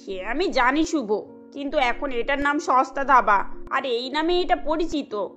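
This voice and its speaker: Woman playing a high-voiced sensible young wife, talks her husband round, cartoon. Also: expressive, unhurried, a bit bright, soft background music. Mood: reasoning. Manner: reasoning, persuasive. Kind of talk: cartoon voice acting.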